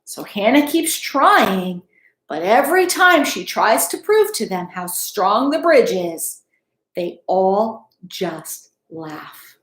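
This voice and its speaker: Slow-paced woman reading aloud, echoey room. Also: mid-range voice. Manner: expressive, teacherly, checks in with questions. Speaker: school principal reading aloud to pupils. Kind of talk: picture book read-aloud with asides to children.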